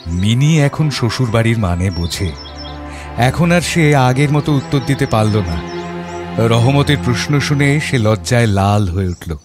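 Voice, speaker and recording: An actor playing a gentle, compassionate Bengali father who narrates his own story in a reflective, heartfelt way. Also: mid-range voice, expressive, unhurried, music in the background, natural voice. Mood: reflective, moved. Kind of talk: first-person audio story narration.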